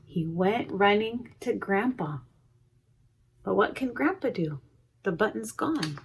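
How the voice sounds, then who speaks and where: A woman reading a picture book aloud to young children, expressively, pausing to comment on the pictures. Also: medium pitch, expressive, a little hiss or hum. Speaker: preschool educator reading a storybook. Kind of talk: read-aloud storytime with asides to young children.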